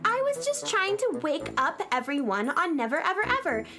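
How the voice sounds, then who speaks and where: High-pitched, spirited young space girl. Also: sing-song, normal speed, sharp tone, faint music behind, heavily acted. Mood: earnest, defensive. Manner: earnest, defensive, emphatic. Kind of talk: children's radio theater acting.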